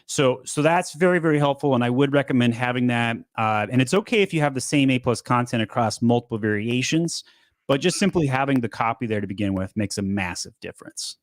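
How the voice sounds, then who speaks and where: A man in his thirties hosting a casual live Q&A from a home studio, upbeat and quick, often repeating words as he talks. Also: medium pitch, lively intonation, dry recording. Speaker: host. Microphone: studio mic on boom arm.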